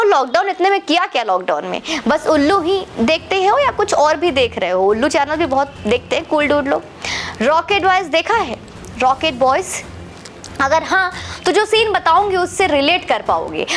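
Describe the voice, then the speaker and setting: Female Indian political commentator, very high lively voice, challenging the viewer in a lecturing monologue with English mixed in. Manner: combative, lecturing, rhetorical questions; mixes English words and phrases into the talk. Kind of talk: opinionated monologue addressed to the viewer.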